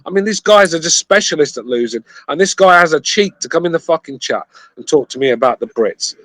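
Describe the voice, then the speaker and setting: A man's voice: a little high, lively intonation, a little fast, a bit bright, no echo. A man from Britain with a British accent, talking emphatically and repeating words in an argumentative sports chat. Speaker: podcast panelist.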